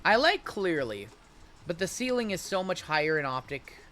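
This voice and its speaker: A man in his late thirties runs a live card break stream, talking in a loose, chatty way as he reads off players and answers viewers. His voice sits a little high and has a bright, forceful edge. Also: very animated, moderate pace, a little hiss or hum. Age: 30s. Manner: chatty, rattles off player names and chats with viewers.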